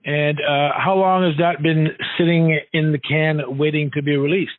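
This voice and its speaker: A man hosting a casual radio interview, haltingly forming his questions and repeating words as he goes. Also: on the high side, little pitch movement, dry recording. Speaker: radio show host, interviewer. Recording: narrow, dull phone-like sound.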